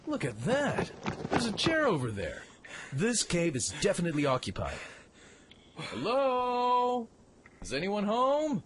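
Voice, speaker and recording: A man's voice: high voice, huge pitch swings, unhurried, slight background noise, full character voice. A man playing a cocky, self-reliant young mech pilot in a radio play, with a brash, swaggering delivery. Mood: curious, eager.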